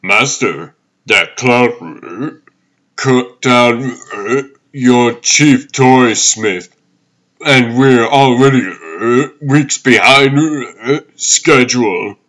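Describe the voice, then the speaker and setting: Anxious frog underling, voiced by a man, very slow, mid-pitched, halting, deferential, comic-book creature. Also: fairly bright tone. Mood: nervous. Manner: halting, stammering with small interjections.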